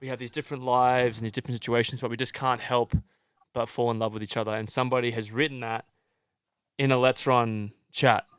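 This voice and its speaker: Joking young British man, smooth dry voice. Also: mid-range voice, flat delivery, fairly quick, dry recording. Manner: laughing, joking, trails off into mumbles. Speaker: podcast co-host. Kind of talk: casual podcast chat.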